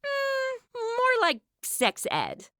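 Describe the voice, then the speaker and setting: A woman's voice: very high voice, wildly swooping pitch, drawn-out words, bright, forceful tone, no room sound, studio quality, full character voice. A woman playing a worldly, sardonic young influencer with slangy modern delivery in a comic audio drama. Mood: teasing, knowing.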